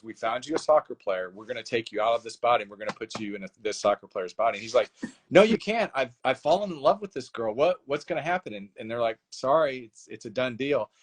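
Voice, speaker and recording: Animated male author telling a story. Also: medium pitch, fairly quick, husky. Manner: animated storyteller, acts out dialogue with laughs. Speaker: author guest. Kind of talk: casual interview chat.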